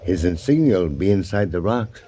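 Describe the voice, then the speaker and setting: A low, very animated voice for an uneasy frontier guide. He is plain-spoken, in vintage radio western style, with slight noise on the old recording. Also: normal speed. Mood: subdued. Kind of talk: 1950s radio western acting.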